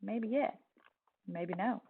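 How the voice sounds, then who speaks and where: A woman with a Southern US accent giving an expressive storybook read-aloud as a teacher, telling it in a young girl's words with soft dips at phrase ends. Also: mid-range voice, slow, gravelly, slightly rough. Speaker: teacher reading a picture book aloud. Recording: distant, tinny phone-like sound.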